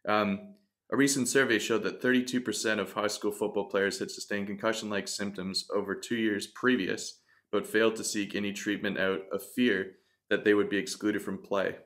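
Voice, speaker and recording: A man in his thirties, a podcast guest, telling his own story in an earnest, reflective way with frequent restarts, on a desk mic in a bright studio room. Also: lowish voice, flat delivery, normal speed. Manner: earnest, reflective, self-interrupting. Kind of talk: candid storytelling in conversation. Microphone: desk mic on stand.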